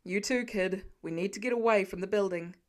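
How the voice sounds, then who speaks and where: A woman voicing a seasoned male firefighter, gruff and steady, who gives clipped commands in an audiobook story. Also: medium pitch, a little fast, voice pushed down, close to an everyday voice. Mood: urgent warning.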